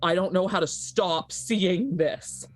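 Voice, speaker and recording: A woman playing a distraught, frightened young woman in a horror game, halting and repetitive as she pleads. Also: fairly low pitch, soft background music. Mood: frightened, pleading.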